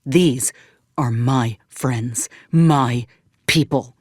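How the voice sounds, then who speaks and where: A woman's voice: extremely low pitch, extremely slow, no echo, studio quality, heavily acted. An actress playing a principled, wounded woman soldier in a video game, weary yet fiercely indignant, speaking earnestly in folksy, old-fashioned frontier phrasing. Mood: shaken, grieving. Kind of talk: dramatic video game acting.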